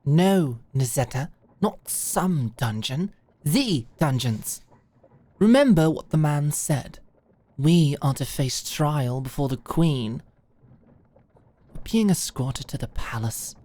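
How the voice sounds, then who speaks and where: Medieval audio drama nobleman, young, well-spoken and scolding, lively slow delivery female performer. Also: deep voice, exaggerated voice. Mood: weary correction. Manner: formal, lecturing, emphatic. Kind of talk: dramatic audio roleplay acting.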